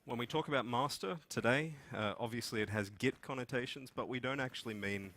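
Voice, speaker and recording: A man's voice: medium pitch, a little fast, a bit bright. A man with an Australian accent giving an informal conference presentation as one of the speakers. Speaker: conference speaker, software development manager. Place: meeting room with seated audience, indoors.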